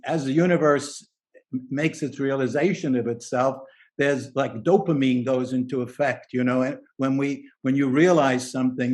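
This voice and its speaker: Man, participant in an online discussion, eager, rambling, mid-pitched voice. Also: a little mellow. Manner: enthusiastic, rambling, many restarts. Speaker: symposium participant. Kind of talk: spoken comment in online discussion. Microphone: video call.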